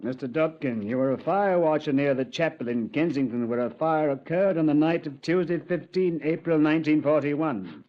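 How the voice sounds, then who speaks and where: An actor playing a calm, authoritative senior police commander who also narrates a 1950s radio drama, with measured, clipped questions. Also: male voice, fairly high pitch, natural voice. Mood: stern. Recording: dull old radio recording.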